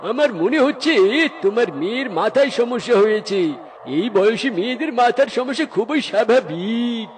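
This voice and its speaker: A man's voice: extremely high pitch, expressive, normal speed. A man playing a self-assured animal village doctor in a comic cartoon, advising in a matter-of-fact way. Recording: faint music under the voice.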